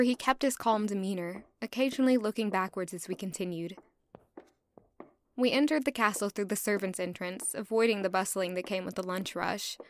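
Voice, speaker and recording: A woman's voice: medium pitch, lightly acted. A woman playing a young, anxious, curious castle servant who narrates her own story in an audio drama. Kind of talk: first-person audio drama narration and dialogue.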